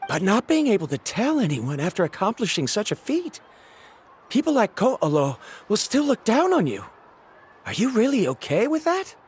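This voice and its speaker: Woman acting a serious, expressive female adventurer. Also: medium pitch, moderate pace, a little hiss or hum, lightly acted. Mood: questioning. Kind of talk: scripted game dialogue. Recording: background music under the dialogue.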